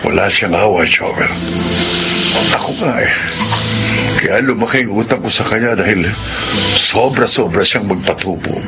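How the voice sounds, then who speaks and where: A man's voice: lowish voice, lightly acted, poor audio. A man with a Filipino accent playing a humble, worried middle-aged tricycle driver in a radio drama. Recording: loud background noise.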